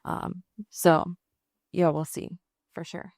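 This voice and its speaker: An American woman with a slightly low voice chats as a podcast co-host. She is emphatic and sweary, circling back to fix her own sentences. The sound is close and dry. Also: level tone, slow, a little mellow, no room sound. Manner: emphatic, sweary, rambling with self-corrections. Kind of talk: casual TV and film recap chat.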